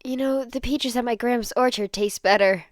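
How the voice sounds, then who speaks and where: A woman's voice: on the high side, moderate pace, dry recording, studio quality, voice pushed up, natural voice. A woman voicing an affectionate, easily flustered teenage boy in a fan fiction read-aloud, chatty and insistent. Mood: fond.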